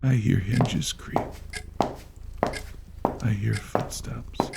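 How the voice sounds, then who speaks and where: A man reading a first-person horror story aloud in a slow, low and grave delivery. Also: vocal fry, heavy background noise. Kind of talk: scripted horror narration.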